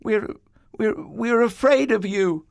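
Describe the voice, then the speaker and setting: A man's voice: sits high, slow pace, gravelly, no echo, studio quality, voice pushed up, heavily acted. A man voicing Adam as a frightened young first man, stammering in a shaky voice.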